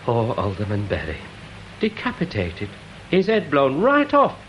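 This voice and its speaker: A self-important provincial busybody in a vintage radio play. His pitch swings wildly as he delivers earnest, sententious speeches, over faint background noise. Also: huge pitch swings, measured pace, lo-fi sound. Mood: relishing, shocked. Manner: pompous, sententious, wordy. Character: pompous small-town busybody with earnest crackpot theories. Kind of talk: radio drama acting.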